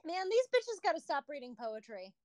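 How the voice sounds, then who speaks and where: A woman's voice: high voice, sing-song, brisk, no echo, studio quality. A brash, sweary woman giving casual, joking commentary.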